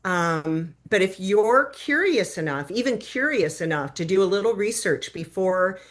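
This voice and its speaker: A woman's voice: medium pitch, animated, a little slow. An American woman from the Detroit area leading an online workshop in a chatty manner, often asking her audience questions over a video call. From Detroit area, United States. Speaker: workshop leader and business consultant. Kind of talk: conversational online workshop talk.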